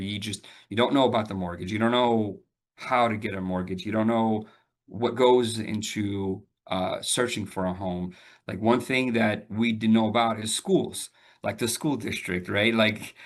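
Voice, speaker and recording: Low-voiced guy in his 40s from albania, chatting through an interview, lots of ums and repeats. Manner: talks with frequent fillers and repeated starts, laughs now and then. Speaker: realtor guest. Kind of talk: casual interview storytelling.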